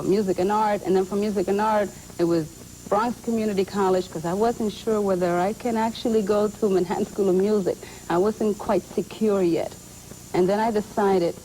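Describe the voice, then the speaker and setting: A woman's voice: medium pitch, warm tone, slight background noise, poor audio. A woman from Puerto Rico, an actress and singer, talking earnestly and emphatically as a guest in an interview. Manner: earnest, emphatic, repeats points. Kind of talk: casual interview talk.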